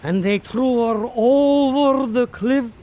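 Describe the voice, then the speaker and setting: A man playing an old island storyteller, telling eerie folk tales in a deliberate, pausing way. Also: extremely high pitch, a little mellow, pitched above the performer's own voice. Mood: grave, eerie. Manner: slow, deliberate oral storytelling with pauses. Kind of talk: dramatic folk-tale narration.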